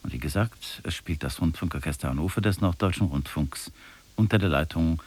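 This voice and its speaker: Low-voiced male radio announcer. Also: a little fast, darkish tone. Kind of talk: scripted broadcast announcement. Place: radio studio.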